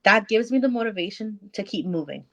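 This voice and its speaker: A woman's voice: mid-range voice, animated, brisk. A woman co-hosting a book club podcast, reading aloud dramatically and casually, breaking off to comment and swear.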